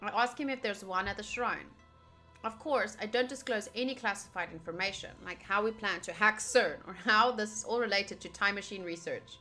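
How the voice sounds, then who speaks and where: A woman's voice: medium pitch, normal speed, bright, forceful tone. A young woman, reading a visual novel aloud and commenting casually and playfully as she streams. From United States. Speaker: game streamer. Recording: voice over game music. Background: game music.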